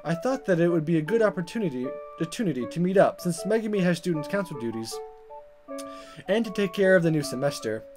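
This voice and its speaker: A woman let's player reading a visual novel aloud in expressive narration with informal asides and stumbles over the script. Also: on the low side, quick pace. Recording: music behind the voice.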